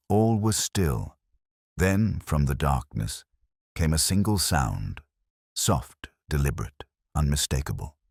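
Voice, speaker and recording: A man with an extremely low voice narrates a first-person mystery story. His delivery is solemn and measured, with lively swings of pitch. The sound is dry and close. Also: no room sound. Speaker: audiobook narrator.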